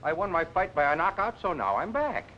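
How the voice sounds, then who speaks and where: An actor in a 1940s film playing a boastful, excitable, good-natured prizefighter with a brash, colloquial way of talking. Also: male voice, on the high side, brisk. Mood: brash, excited. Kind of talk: 1940s film comic-relief acting. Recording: dull, faintly noisy 1940s film soundtrack.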